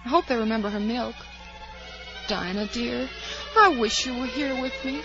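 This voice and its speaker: A woman's voice: a little high, moderate pace, music in the background, lightly acted. An actress playing a curious, dreamy, chatty little girl who wonders aloud in a 1940s radio drama. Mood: wondering, chatty. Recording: muffled old 1940s radio recording.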